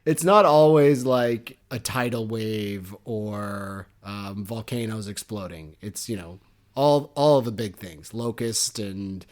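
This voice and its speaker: Young male podcast co-host, casual, jokey, quick to laugh. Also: lowish voice. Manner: jokey and animated, laughs often. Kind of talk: casual book chat.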